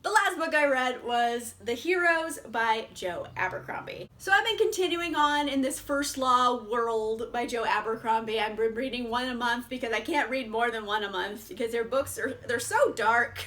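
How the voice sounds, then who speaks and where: A woman's voice: a little high, expressive, normal speed, hardly any pauses, pushed and bright. A young woman casually reviewing books in a chatty vlog, laughing often and swearing freely. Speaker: book reviewer.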